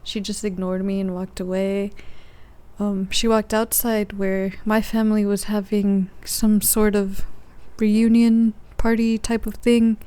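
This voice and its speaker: A soft-spoken woman with a medium-pitched voice talks casually into her own podcast. She recounts her dreams in a gentle, unhurried monologue. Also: little pitch movement, clear, resonant tone, dry recording. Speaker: podcast host.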